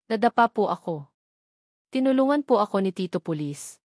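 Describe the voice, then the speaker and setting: A woman's voice: medium pitch, expressive, normal speed, darkish tone, no room sound, natural voice. A sweet, polite little girl in an audio drama, put on by a woman narrator. The voice is small and thin, with a Filipino accent. It is recorded dry. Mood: sweet, innocent.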